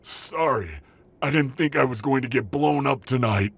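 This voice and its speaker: A man plays a wounded dragon-shifter henchman in an audio roleplay. His mid-pitched voice is heavily fried and husky. He sounds strained yet stubbornly sarcastic and wisecracking. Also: normal speed. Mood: sheepish, weary. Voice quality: gravelly.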